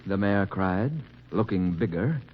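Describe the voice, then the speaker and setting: A man narrating a poem in a slow, expressive, rhythmic way, on a dull 1940s radio broadcast. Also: low-pitched. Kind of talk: dramatic poetry narration.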